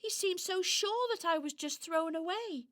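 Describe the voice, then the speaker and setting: Woman playing a high-voiced, forlorn little lost toy. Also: normal speed, fairly bright tone. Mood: self-doubting. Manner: plaintive, hesitant, self-doubting. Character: sad, scared little lost toy doubting it is wanted. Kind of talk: children's radio play acting.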